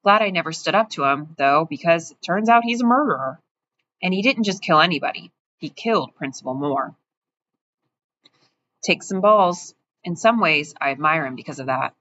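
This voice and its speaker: A woman's voice: low voice, lively intonation, moderate pace, pushed and bright. A woman voicing a resentful, judgmental teenage schoolboy in an audiobook reading, talking bluntly and coldly. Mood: bitter. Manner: blunt, cold, matter-of-fact. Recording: dull, muffled audio with faint hiss.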